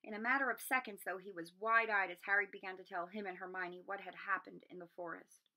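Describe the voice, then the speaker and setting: A woman reading a children's novel aloud as an expressive storyteller. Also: mid-range voice, fairly quick, poor audio. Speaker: storybook reader.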